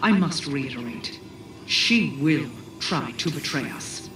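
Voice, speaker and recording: A woman's voice: sits low, lively intonation. Imperious queen, echoing and distant. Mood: grave, distrustful. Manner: formal, measured, grave. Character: imperious, wary queen and coven leader. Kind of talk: dramatic video game cutscene speech. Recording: echoing, distant voice under music. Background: music.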